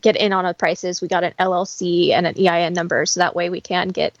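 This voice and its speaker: A woman's voice: lowish voice, level tone, fast, dry recording. Woman explaining things, dry muffled audio. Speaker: guest, preparedness skills teacher. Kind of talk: casual conversational explaining.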